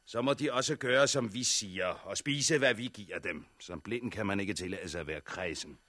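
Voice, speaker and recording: An actor playing a cold, authoritarian regime official in a 1960s radio drama, speaking in a measured, bureaucratic way. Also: male voice, lowish voice, bright, forceful tone, no room sound. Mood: stern, commanding. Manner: measured, bureaucratic, matter-of-fact.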